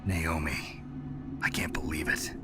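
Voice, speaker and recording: A man voicing a gruff, world-weary special forces soldier in a dramatic video game, speaking in terse questions. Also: extremely low pitch, little pitch movement, slow pace. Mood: stunned, serious. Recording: loud music bed under voice.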